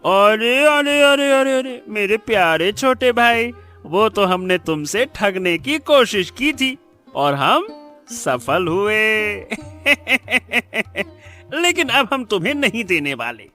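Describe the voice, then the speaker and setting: A man voicing a greedy, mocking elder brother in a cartoon story, scolding and taunting with drawn-out jeers. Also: high voice, sing-song, pushed and bright, faint music behind, full character voice. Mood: gloating mockery. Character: greedy, mocking elder brother who swindles his sibling. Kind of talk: Hindi cartoon moral story acting.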